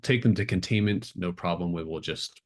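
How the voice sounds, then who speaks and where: A man's voice: sits low, normal speed, close to an everyday voice. A man voicing a nervous, deferential research assistant in a tabletop game, stammering and apologetic. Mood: meek, flustered.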